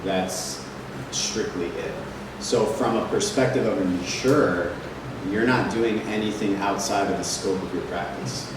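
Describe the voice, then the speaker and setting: A man's voice: fairly low pitch, measured pace. A man on a panel answering questions casually, with fillers like "you know". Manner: casual, filler-laden explaining. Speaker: panelist, cannabis business. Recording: echoey hall from far off, noise.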